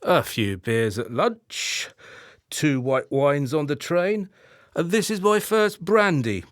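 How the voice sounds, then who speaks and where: A man's voice: a little high, lively intonation, slow pace, dry recording, clean studio sound. A man playing an anxious, hard-drinking, henpecked husband in a radio play, wry and theatrical. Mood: defensive.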